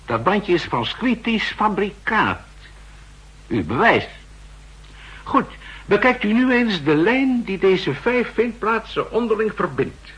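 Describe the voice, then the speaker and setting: An actor playing a self-assured official who presents evidence in deliberate, declamatory Netherlands Dutch in a 1960s radio drama. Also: male voice, high-pitched, sing-song, a bit bright, exaggerated voice, low-quality recording. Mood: emphatic, triumphant.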